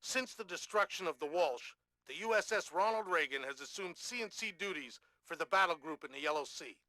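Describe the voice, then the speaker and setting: An actor playing a grim, hawkish American military commander giving an authoritative formal briefing in a video game. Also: male voice, fairly high pitch, animated, fairly quick, bright, forceful tone, dry recording, poor audio. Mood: stern, hawkish.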